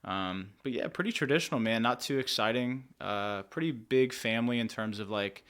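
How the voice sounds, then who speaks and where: A man's voice: medium pitch, normal speed, fairly bright tone, smooth. A young man from Pennsylvania, a musician guest in a podcast studio, talking in a laid-back, rambling way full of "like" and "dude". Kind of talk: casual interview chat.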